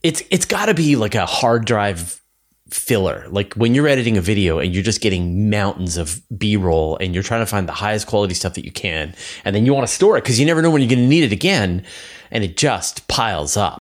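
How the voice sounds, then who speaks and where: A man's voice: fairly low pitch, animated, fairly quick. A man hosting a podcast in a casual, conversational style, with emphatic repetition and asides. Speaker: podcast host.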